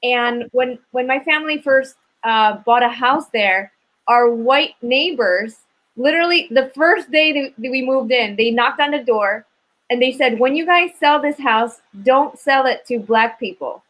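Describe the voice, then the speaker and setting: American woman, high-pitched, bright sharp voice, casual joking panel chat. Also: measured pace, rough recording. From Chicago, US. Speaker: podcast panelist.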